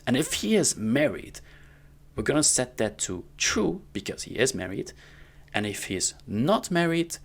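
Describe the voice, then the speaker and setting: A man giving a casual, step-by-step coding tutorial in an informal way, often checking in with the listener, with a gravelly voice. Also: mid-range voice, a bit bright. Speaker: programming tutorial instructor. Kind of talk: casual screen-recorded coding lesson. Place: indoors at a computer.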